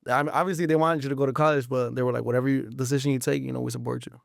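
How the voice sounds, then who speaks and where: A man's voice: medium pitch, fairly even intonation, racing, gravelly, no room sound, clean studio sound. A man of about twenty, chatting casually as an interview guest in slangy English mixed with Spanish. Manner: slangy, informal, frequent swearing.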